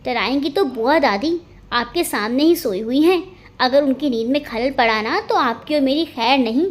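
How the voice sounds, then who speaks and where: A woman voicing a lively, caring younger sister with a playful, enthusiastic manner, in an audio story. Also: high-pitched, moderate pace, close to an everyday voice. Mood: caring, teasing.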